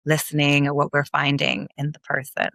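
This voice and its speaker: A woman osteopath from Belgium, raised in London, with a blended American, Belgian and British accent, explaining her work in measured terms in a podcast interview. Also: low voice, monotone, gravelly, a bit bright, no room sound. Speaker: manual osteopath guest.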